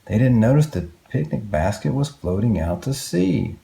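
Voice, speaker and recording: A man's voice: medium pitch, animated, moderate pace, vocal fry, warm tone. A man reading a picture book aloud to young children, expressively, with exclamations and questions to his listeners. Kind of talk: read-aloud picture book narration with asides.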